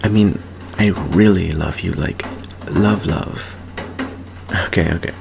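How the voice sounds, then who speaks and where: A man acts out an earnest, nervous teenage vampire boy in an intimate ASMR roleplay. His voice sits low and crackles with vocal fry. He speaks slowly and hesitantly, stumbling over his words as he confides in a friend. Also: heavily acted. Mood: earnest, shy. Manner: hesitant, halting, stammering.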